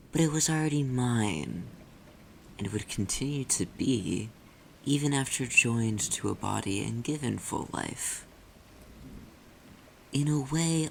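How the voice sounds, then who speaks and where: A man playing a silky, possessive demon in an ASMR roleplay, speaking deliberately and teasingly in a low, gravelly voice. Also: a little hiss or hum. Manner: slow, deliberate, teasing, theatrical. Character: possessive, silky demon claiming an owned soul.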